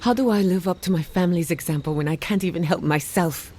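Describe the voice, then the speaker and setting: An actress playing an earnest, burdened but resolute woman scholar in a fantasy video game, speaking in a measured, reflective way. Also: lowish voice, dark tone, slight background noise, lightly acted. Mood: bitter, burdened. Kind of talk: dramatic video game dialogue.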